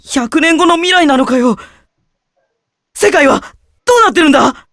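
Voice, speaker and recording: A man's voice: extremely high pitch, lively intonation, fast, fairly bright tone, dry recording, clean studio sound, heavily acted. A man voicing a gullible, melodramatic teenage schoolboy as the comic sidekick in a Japanese visual novel. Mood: shocked. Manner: melodramatic, swinging from shrieks to mournful murmurs.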